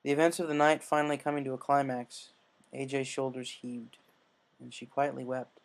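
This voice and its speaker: A man's voice: mid-range voice, little pitch movement, normal speed, vocal fry. A man reading a story aloud as scripted dramatic narration. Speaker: story reader.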